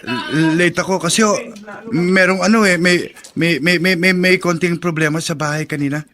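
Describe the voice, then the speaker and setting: An actor playing a timid, apologetic construction worker who stammers, with a Filipino accent, in a radio drama. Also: male voice, on the high side, normal speed, bright, forceful tone. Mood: nervous, apologetic. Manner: nervous stammering, deferential. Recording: busy crowd noise behind voice.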